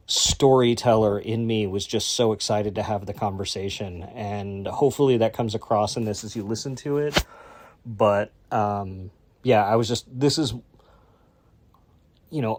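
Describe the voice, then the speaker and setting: A man's voice: lowish voice, darkish tone. A male podcast host giving a casual, rambling introduction full of fillers.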